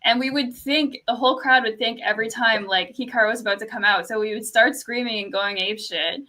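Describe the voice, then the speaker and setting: A young woman from Canada with a fairly quiet speaking voice, telling a story in casual chat with lots of "like" and laughter. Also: a little high, fairly even intonation, brisk. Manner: storytelling, laughs often, says "like" a lot.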